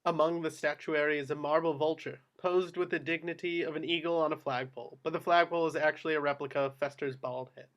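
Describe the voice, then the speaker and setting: A man's voice: fairly high pitch, fairly even intonation, fast talker, hardly any pauses, a bit bright. A man narrating action descriptions from a script at a table read. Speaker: stage-direction narrator.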